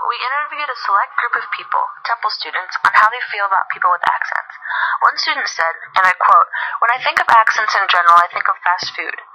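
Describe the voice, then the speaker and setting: A young woman reading a student class project script aloud in an earnest way. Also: mid-range voice. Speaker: student presenter. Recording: dull lo-fi recording with faint music.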